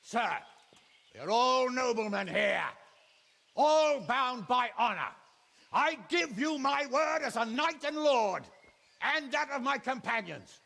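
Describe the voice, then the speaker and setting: An actor playing a commanding noble knight in a video game, formal and declamatory, calling out across a distance. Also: male voice, very high voice, expressive, slow pace, creaky, pushed and bright, long reverb tail, full character voice. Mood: firm, formal. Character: commanding male noble knight negotiating a siege. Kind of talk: cinematic video game acting.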